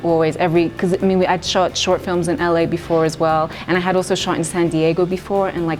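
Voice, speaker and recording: A young woman director speaking in a thoughtful, measured way, with plenty of fillers, in a festival interview. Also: deep voice, darkish tone. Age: young adult. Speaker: writer and director.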